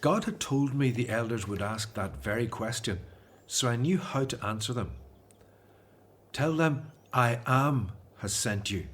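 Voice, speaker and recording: Guy playing an earnest hebrew prophet for radio drama, normal man pitch, solemn and measured. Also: close to an everyday voice.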